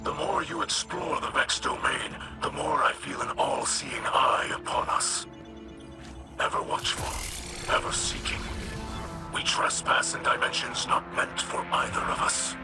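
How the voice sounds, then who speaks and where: A man acting as a solemn alien leader from a video game, humble and weary yet faithful. He speaks slowly and gravely in a breathy growl, with loud music underneath. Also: mid-range voice, steady tone, lightly acted. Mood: uneasy, watchful. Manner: measured, grave, formal. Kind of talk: dramatic video game dialogue. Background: loud game score.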